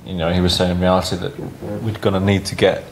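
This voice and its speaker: A man talking informally and haltingly, unscripted, as a documentary participant. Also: deep voice, little pitch movement, gravelly, slight background noise. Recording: music behind the voice.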